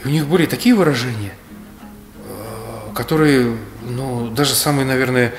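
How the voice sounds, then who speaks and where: Man in his 30s from Kalmykia, measured lecturer, roomy echo with faint music behind. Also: medium pitch. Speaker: speaker on history. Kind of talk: reflective explanatory talk. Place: bright indoor room.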